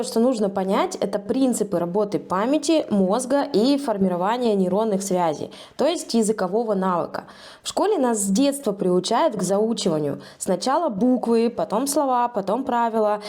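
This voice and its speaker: A woman English teacher narrating a scripted video lesson in a measured, persuasive way. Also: a little high, lively intonation, dark tone.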